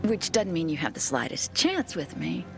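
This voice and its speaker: A woman's voice: low voice, sing-song, moderate pace, gravelly, over music, exaggerated voice. An actress playing a sardonic, aloof young woman in a video game, dry and blunt. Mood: aloof, teasing. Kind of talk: video game character acting.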